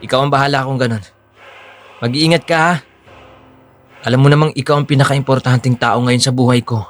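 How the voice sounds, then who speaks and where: A man's voice: mid-range voice, steady tone, brisk, soft background music, close to an everyday voice. A man playing a controlling, two-faced boyfriend in a Filipino audio drama, coaxing and slipping between Tagalog and English.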